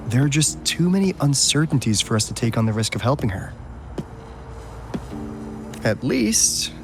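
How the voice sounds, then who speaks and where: A man's voice: medium pitch, natural voice. An actor playing an easygoing, wry young male agent in a video game, talking casually with teasing asides. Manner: casual, teasing, drawling then brisk asides. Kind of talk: video game cutscene voice acting, conversational. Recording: music behind the voice.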